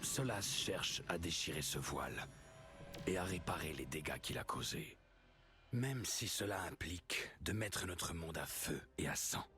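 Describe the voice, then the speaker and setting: An actor playing a grave male storyteller, narrating an ancient legend in a solemn, measured, ominous way for a fantasy video game prologue. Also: low voice, lively intonation. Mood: ominous, urgent.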